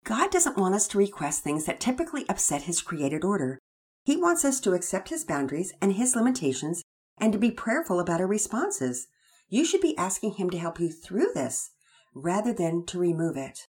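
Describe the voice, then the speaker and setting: Storybook dad, gentle and preachy, voiced by a breathy female narrator. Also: on the low side, very animated, moderate pace. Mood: instructive. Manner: gentle, instructive, scripture-quoting. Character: devout father, patient and preaching.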